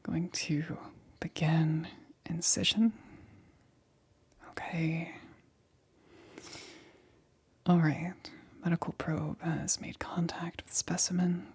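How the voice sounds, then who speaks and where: A woman playing a nervous, methodical lab assistant in a whispered ASMR roleplay, speaking haltingly in a breathy whisper. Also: deep voice, level tone, darkish tone, heavily acted. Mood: anxious, careful. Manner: hesitant, halting, repeats reassurances.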